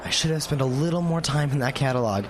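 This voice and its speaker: A male actor playing a jittery, wisecracking boy in a radio drama, talking in hushed, urgent tones. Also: medium pitch, fast talker, noisy background, close to an everyday voice. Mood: hushed, wry. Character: jittery, wisecracking young boy, the twin brother. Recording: music behind.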